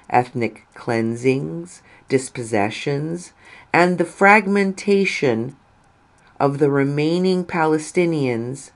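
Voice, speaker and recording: A woman reading a written article aloud in a deliberate, emphatic way with pauses between phrases. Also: low voice. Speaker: reader of an article.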